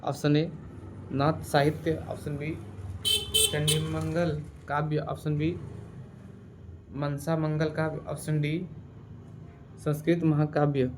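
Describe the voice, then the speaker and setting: A man teaching through exam quiz questions, reading options and repeating answers deliberately. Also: a little high, sing-song, background noise.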